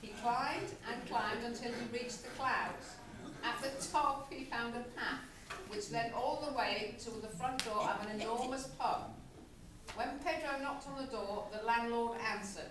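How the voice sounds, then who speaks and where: A very echoey, noisy room recording of a woman with a fairly high voice. She narrates a homemade comic puppet show like a storybook, event by event. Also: unhurried, far from the mic. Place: room in a nursing home.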